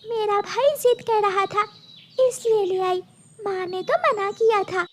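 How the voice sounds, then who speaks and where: A woman's voice: extremely high pitch, slow. A woman voicing a small, polite young girl bird in a cartoon, with a pleading, childlike manner.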